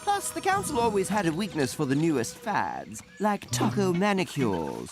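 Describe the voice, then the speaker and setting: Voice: medium pitch, huge pitch swings, normal speed. A performer voicing a wise, fretful ape mentor in a cartoon, telling things in a dramatic, articulate way. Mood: wistful, dramatic. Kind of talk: animated cartoon voice acting. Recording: loud music behind the voice.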